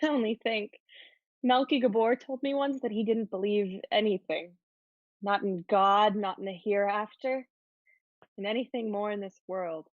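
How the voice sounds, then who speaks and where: A slightly lo-fi, close and dry recording of a romantic teenage girl in a period drama, played by a woman. The pitch is mid-range. The manner is self-assured and happy. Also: measured pace, no room sound, close to an everyday voice. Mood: gossipy. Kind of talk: dramatic reading of a period play.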